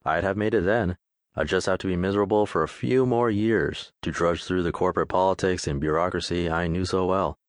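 Male audiobook narrator, very low voice, easygoing straightforward memoir read, dry booth sound. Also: a little fast, no echo. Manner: laid-back, straightforward.

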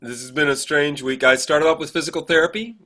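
A man's voice: on the high side, steady tone, fast talker, sharp tone. A man chatting casually as a co-host, telling anecdotes and acting out bits of quoted dialogue. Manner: acts out quoted dialogue while telling stories. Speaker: podcast co-host, theatre director and actor. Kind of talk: casual chat, animated anecdotes.

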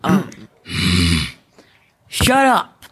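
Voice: extremely slow, a bit bright, slight background noise. A young amateur performer playing a sulky, defiant schoolboy bully in a school radio play.

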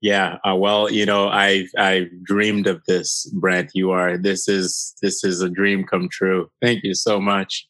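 A man's voice: low-pitched, little pitch movement, slow pace, few pauses, fairly bright tone, dry recording. A man from Haiti whose first languages are French and Haitian Creole, telling stories chattily as a podcast guest, with many fillers. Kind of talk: casual interview storytelling.

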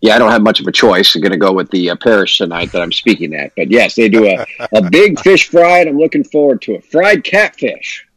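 A man's voice: on the low side, expressive, brisk, hardly any pauses, a bit bright, no room sound, low-quality recording. Guy hosting morning radio, upbeat, dry close studio mic. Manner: upbeat, announcer-like, runs through the lineup. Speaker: radio show host. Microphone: studio microphone.

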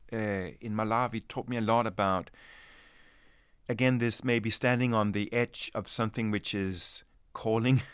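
A man from Denmark, speaking as an anthropologist, who answers questions slowly and thoughtfully, often restarting his sentences. His voice sits at an ordinary middle pitch. The recording is dry and close, with no room sound. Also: smooth, no echo. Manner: thoughtful, hesitant, many restarts. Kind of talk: reflective interview answers.

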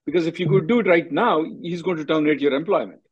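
Blunt man, husky, muffled call audio. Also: fairly high pitch, quick pace. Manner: blunt, directive, repeats himself. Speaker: immigration attorney hosting a call-in. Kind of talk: question-and-answer advice call. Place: conference call. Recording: dull lo-fi conference call audio.

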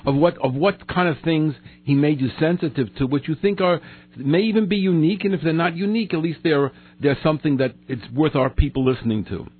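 A man hosting a radio interview, asking quick, prodding questions and cutting in. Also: fairly high pitch, breathy edge. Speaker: radio host. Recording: tinny phone-like radio line.

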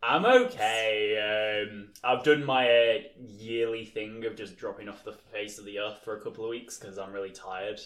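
A man's voice: fairly low pitch, steady tone, normal speed, few pauses, a bit bright. A young man co-hosting a casual podcast, rambling with many fillers and restarts. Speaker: podcast co-host. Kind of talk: casual chat. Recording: echoey room.

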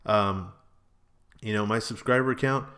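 A man in his early thirties with a fairly low voice talks like a solo podcast host. His delivery is loose and rambling, full of informal slang and exclamations, as if chatting with friends. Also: measured pace, fairly bright tone.

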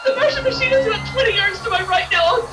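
Woman acting high-voiced frantic radio reporter, off-mic. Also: pushed and bright, far from the mic, faint music behind, poor audio. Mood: terrified, crying out. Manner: breathless, dramatic, halting with shock. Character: frantic female field radio reporter witnessing an alien attack. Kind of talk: 1930s radio drama acting, on-the-spot news report.

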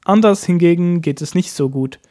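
A man teaching a language lesson, explaining each point slowly step by step. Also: high voice, warm tone. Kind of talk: lesson narration.

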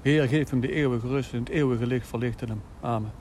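An actor playing a kindly, evasive, middle-aged village priest in a naturalistic audio drama, musing and gently paternal. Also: male voice, mid-range voice, steady tone, brisk, a little hiss or hum, natural voice. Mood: wistful, solemn.

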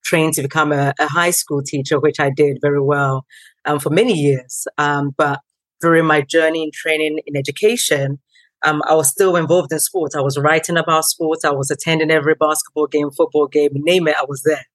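A woman in her thirties, born in Ghana, recounts her career as a podcast guest. She talks in lively bursts with plenty of ums and acts out the people she quotes. Her voice sounds dry, with no room echo. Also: low-pitched, fairly quick. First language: not English. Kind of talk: conversational interview.

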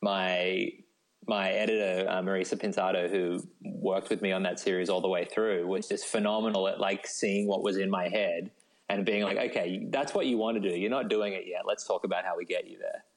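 Australian man, lowish voice, novelist being interviewed, informal and discursive, conversational filler words. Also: steady tone, moderate pace, poor audio. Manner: informal, discursive, frequent 'like' and 'you know'.

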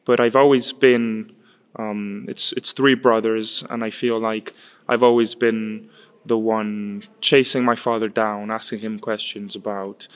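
A man in his twenties, raised in Chile, answering interview questions in a halting way, often repeating words. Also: on the low side, little pitch movement, smooth. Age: 20s. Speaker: guest, winemaker's son. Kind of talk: casual interview.

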